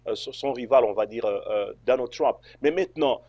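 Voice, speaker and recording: A man's voice: mid-range voice, a little fast, darkish tone, no echo, dull audio. A man from Congo answering interview questions, hesitant, with many fillers and restarts. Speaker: interview guest.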